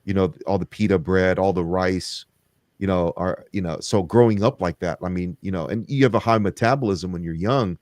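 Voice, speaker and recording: A middle-aged man with a very deep voice hosts a podcast in a loose, rambling way. He circles his thoughts with fillers and restarts, and the recording is close and dry. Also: a little fast, a little mellow, no echo. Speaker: podcast host. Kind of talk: conversational interview.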